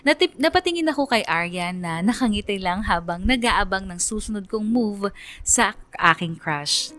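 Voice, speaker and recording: A woman acts out a young lovesick narrator with a medium-pitched voice. She tells her crush story in first person for radio drama, confiding and dramatic, in casual colloquial speech. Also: expressive, moderate pace, fairly bright tone, lightly acted. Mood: panicky, confessional.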